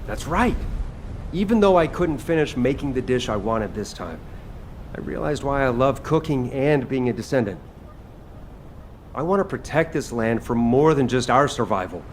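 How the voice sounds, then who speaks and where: A man's voice: medium pitch, animated, normal speed, slight background noise, close to an everyday voice. A man playing an upbeat young chef and war hero in a video game, speaking in an earnest, encouraging way. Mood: earnest, determined. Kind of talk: video game cutscene dialogue. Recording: game music behind the voice. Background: background music.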